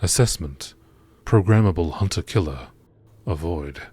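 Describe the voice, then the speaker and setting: A man reading scripted narration in a measured, formal nature documentary style. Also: low voice, expressive, warm tone, a little hiss or hum. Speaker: gaming channel narrator.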